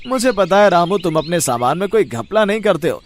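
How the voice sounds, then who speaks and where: A man's voice: sits high, sing-song, a little fast. A man voicing a friendly, persuasive grocery shopkeeper in a moral-story cartoon, chummy and coaxing.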